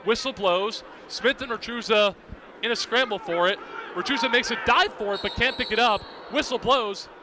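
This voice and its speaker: A man's voice: extremely high pitch, normal speed. A young man doing live radio play-by-play commentary in clipped, vivid present-tense calls. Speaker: play-by-play announcer. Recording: outdoor broadcast with clear background noise.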